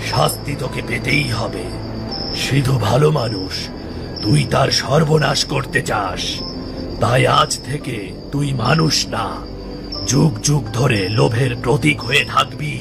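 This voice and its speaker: A man's voice: mid-range voice, measured pace, gravelly. A man voicing a stern supernatural guardian in a cartoon, commanding and judging in manner. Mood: stern wrath. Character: stern supernatural guardian friend punishing the wicked. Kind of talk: cartoon story acting. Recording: loud background music.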